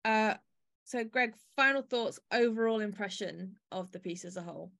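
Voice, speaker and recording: A woman's voice: mid-range voice, moderate pace, creaky, fairly bright tone. A woman hosting a podcast, talking through a piece in a conversational discussion style. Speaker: podcast host.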